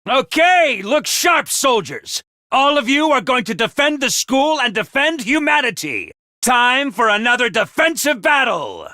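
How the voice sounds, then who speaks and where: A video game's theatrical, scheming drill-master who yells wake-up orders in a high, bright, piercing voice. The voice leaps all over the place, then sinks into quiet menace. The recording is dry and close. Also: male voice, big pitch swings, a little slow, no room sound, exaggerated voice. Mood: shouting cheerfully. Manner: booming, swings between shouted cheer and low menace. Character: manic theatrical male drill-sergeant schoolmaster, scheming. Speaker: voice actor. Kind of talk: video game voice lines.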